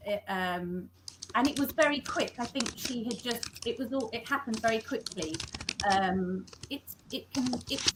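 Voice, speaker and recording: A woman's voice: fairly high pitch, very noisy. A woman, a scriptwriter, talking through her work in an interview, often stopping and restarting her sentences. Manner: halting, self-correcting, many restarts. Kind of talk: conversational interview. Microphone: video call.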